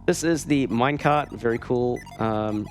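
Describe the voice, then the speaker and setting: A man talks in a casual, wry way as he plays a video game for an audience of viewers, often cutting his own sentences short. His voice sits at a middle pitch, with faint game music underneath. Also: measured pace. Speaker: speedrunner playing and commentating. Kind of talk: live gameplay commentary, casual. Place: gaming marathon event, streamed.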